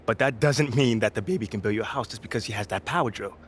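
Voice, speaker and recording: A man's voice: on the low side, fast talker, close to an everyday voice. An actor playing an earnest young male basketball rookie in a video game, talking in an informal, self-assured, slangy way. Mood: explaining, assured. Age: young adult. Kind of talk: video game cutscene acting, casual interview talk. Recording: clear background noise.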